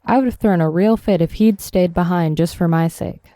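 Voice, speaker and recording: A young woman playing a tough, sardonic zombie killer in an audio drama, with a deadpan, teasing, brisk delivery. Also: lowish voice, no echo, lightly acted. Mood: matter-of-fact. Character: tough, sardonic twenty-five-year-old woman, cocky zombie killer. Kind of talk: audio drama acting, casual dialogue.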